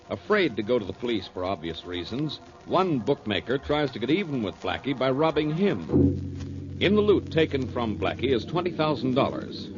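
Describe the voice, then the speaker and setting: A man narrates as a 1940s radio announcer. His voice is mid-pitched, with clear music behind. The recording is old and dull.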